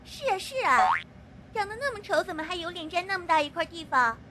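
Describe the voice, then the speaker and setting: A woman's voice: very high-pitched, lively intonation, quick pace, pushed and bright, soft background music, heavily acted. A woman voicing a spiteful, childlike cherry tomato bully with a taunting, snooty manner in a cartoonish audio drama.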